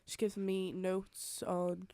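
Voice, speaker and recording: Young female singer, slightly low voice, relaxed and chatty. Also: slow, dry recording. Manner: chatty, many fillers like "hundred percent". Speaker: singer-songwriter guest. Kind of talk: casual interview chat.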